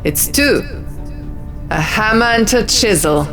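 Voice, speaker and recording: Woman acting as earnest male king, audiobook character, raised pitch, some vocal fry, musical backing. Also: lively intonation, takes it slowly, fairly bright tone. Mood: assured. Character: earnest young king, male, conferring with companions. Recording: music behind the voice.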